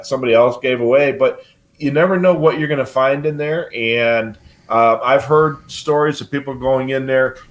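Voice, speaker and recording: Older man, casual rambling host. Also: mid-range voice. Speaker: live show host. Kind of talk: casual live hobby talk.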